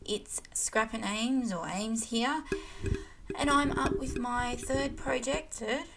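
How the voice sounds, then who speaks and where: A woman, croaky from losing her voice, chatting casually through a scrapbooking voiceover with lots of ums. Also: a little high, slow pace, heavy background noise. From Australia. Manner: chatty, rambling, self-deprecating, many ums. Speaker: kit club design team crafter. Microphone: voiceover recorded separately from hands-on video.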